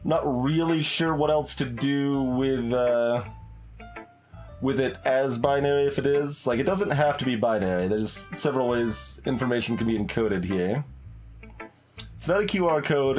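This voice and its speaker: A man's voice: mid-range voice, a little mellow. Man thinking aloud on a game stream, music behind. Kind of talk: casual live playthrough commentary. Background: game music, guitar.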